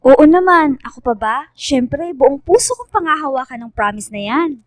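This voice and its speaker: A woman's voice: high-pitched, lively intonation, normal speed, no echo, clean studio sound. A woman playing a cheerful, loyal young girl, bubbly and reassuring, in a radio drama. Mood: eager, playful. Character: Jerzella, cheerful devoted young girl, loyal best friend. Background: music.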